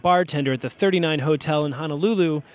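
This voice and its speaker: Male host, slightly high voice, quick delivery, wry playful banter over light music. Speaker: podcast host. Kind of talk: light scripted hosting and banter.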